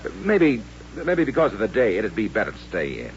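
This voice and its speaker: An actor playing an anxious, well-meaning frontier doctor, hesitant and pleading, in a radio western. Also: male voice, fairly low pitch, wildly swooping pitch, fairly quick. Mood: worried, hesitant. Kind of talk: old-time radio western acting. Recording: muffled old radio recording with hum.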